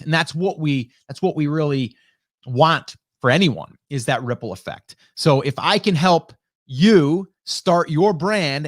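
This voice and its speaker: A man in his late forties speaks in a fairly high register on a close, very dry broadcast microphone. He hosts a live stream as a coach, chatting informally and addressing viewers directly with frequent check-ins. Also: no echo. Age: late 40s. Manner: conversational, greets viewers by name, rhetorical check-ins. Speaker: host, online marketing coach. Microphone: close broadcast dynamic microphone.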